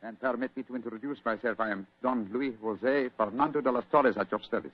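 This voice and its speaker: An actor playing a courtly, excitable Spanish gentleman with a Spanish accent, halting as he searches for English words. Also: medium pitch, no echo, lightly acted. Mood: courtly, eager. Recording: muffled old radio recording.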